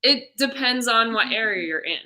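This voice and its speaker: A woman's voice: on the high side, expressive, normal speed, pushed and bright, poor audio. An American woman guest chatting casually in an interview, explaining things step by step in an informal way. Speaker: guest, sign language interpreter and theater stage manager.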